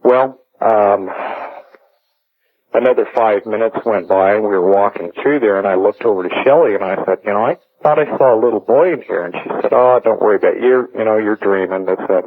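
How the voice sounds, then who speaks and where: A middle-aged man calling a radio show, telling a personal story in a halting, deliberate way with many hesitations. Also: on the low side. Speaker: radio caller. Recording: narrow, tinny phone line on radio.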